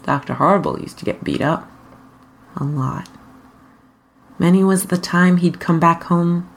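A woman reading fan fiction aloud as an expressive scripted narration. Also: lowish voice, unhurried.

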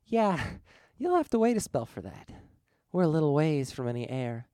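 A man's voice: a little high, big pitch swings, moderate pace, dark tone, no room sound, clean studio sound, voice pushed up. A man voicing an ancient, seductive female-sounding evil spirit with a coaxing drawl in an audiobook story.

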